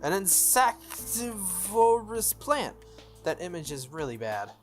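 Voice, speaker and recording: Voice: medium pitch, sing-song, slow pace, voice pushed up. A let's-play reader voicing an earnest, puzzled teenage schoolboy hero from a visual novel, blunt and reluctant. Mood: grumbling. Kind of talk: visual novel dialogue read aloud. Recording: music under the voice.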